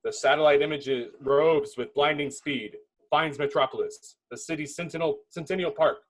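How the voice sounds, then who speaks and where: A man's voice: a little high, moderate pace, a little hiss or hum. A man giving dramatic scripted narration, reading a film script's stage directions.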